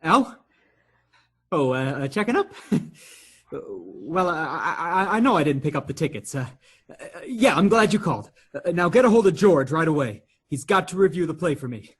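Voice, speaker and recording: Frantic nephew, male actor, radio stage comedy; rough-edged voice, flustered, exclamatory, highly animated. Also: normal speed, full character voice. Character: frantic, appalled nephew of two elderly aunts.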